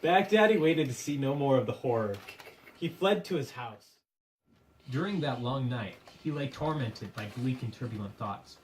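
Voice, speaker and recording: A man narrating a puppet show as dramatic storytelling, in a measured, theatrical, literary manner. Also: medium pitch, animated. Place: live puppet show performance, room. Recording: distant microphone with faint room noise.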